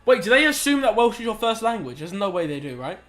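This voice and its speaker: Young male streamer, high voice, laid-back slangy chat while working problems aloud. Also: big pitch swings, fast talker, fairly bright tone, faint music behind. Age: young adult. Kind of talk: casual live-stream chat while solving maths.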